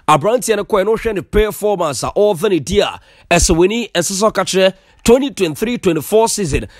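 High-voiced Ghanaian sports newsman. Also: no room sound, clean studio sound. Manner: fast mixing of Twi and English. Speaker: sports news presenter. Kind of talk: sports news voice-over.

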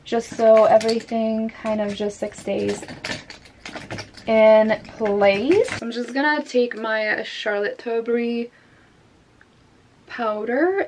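A woman's voice: a little high, a little hiss or hum. A woman in her twenties chatting casually through a makeup routine in her bedroom, filling her talk with "like" as she goes. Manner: chatty, lots of "like" fillers. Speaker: vlogger. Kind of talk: casual makeup tutorial chat. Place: bedroom at home.

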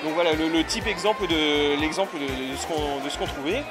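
A man's voice: a little high, brisk, music in the background. A man in his thirties at a convention stand, explaining his collection in a casual, rambling way with many fillers. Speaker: retro computer exhibitor. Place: busy convention hall stand, indoors. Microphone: handheld recorder held by the interviewer.

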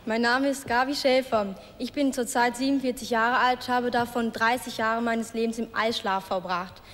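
A German woman delivers a tongue-in-cheek introduction in an earnest tone. Her voice sits a little high. It carries the long reverb of a large TV studio. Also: steady tone, normal speed, fairly bright tone. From West Germany. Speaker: game show contestant. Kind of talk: mock-serious self-introduction.

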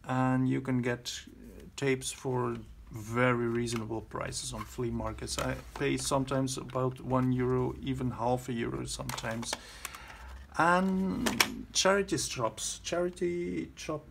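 Slow, creaky-voiced man. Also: medium pitch. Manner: hesitant, halting phrasing. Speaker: video host, collector. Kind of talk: casual show-and-tell narration. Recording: clear background noise, handling clicks.

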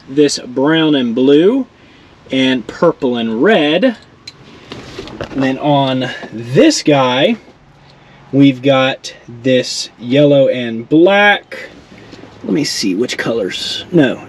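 A man's voice: mid-range voice, slow, a little hiss or hum. A man casually walking through his car build as a vlogger, explaining each step as he works and joking at his own mistakes. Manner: relaxed, self-deprecating, explains while working. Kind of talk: casual how-to walkthrough. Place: garage and inside a truck.

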